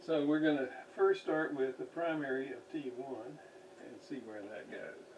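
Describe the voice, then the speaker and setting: Guy doing a slow unscripted bench demo, thinking out loud, mic a bit far off, faint noise behind him. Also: medium pitch. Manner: halting, thinking aloud while working. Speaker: radio restoration hobbyist, demonstrator. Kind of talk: unscripted hands-on bench demonstration.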